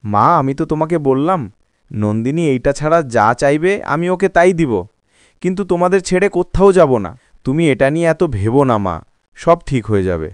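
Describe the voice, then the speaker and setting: A man plays a devoted young husband and son in a cartoon moral story. His delivery swings widely in pitch and is earnest and pleading. The recording is close and dry. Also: sing-song, fairly quick, clean tone, dry recording. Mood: sad, reassuring. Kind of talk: dramatized cartoon voice acting.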